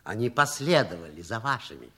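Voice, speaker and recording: An actor playing an effusive, excitable older doctor and devoted friend in a 1960s Soviet radio play. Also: male voice, fairly low pitch, fairly quick, breathy edge, exaggerated voice. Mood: relieved, devoted. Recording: old radio recording, faint music.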